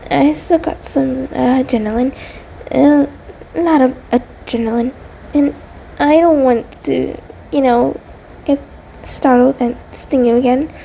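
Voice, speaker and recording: A woman's voice: high voice, vocal fry, warm tone, slight background noise, full character voice, rough recording. A woman playing an anxious, affectionate female centipede monster, nervous, stammering and apologetic, in an intimate roleplay audio drama. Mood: tender, sheepish.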